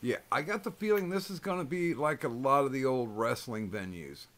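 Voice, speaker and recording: Casual host: loud-voiced man, swears a lot, rambling. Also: mid-range voice, animated, moderate pace. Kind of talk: casual chat.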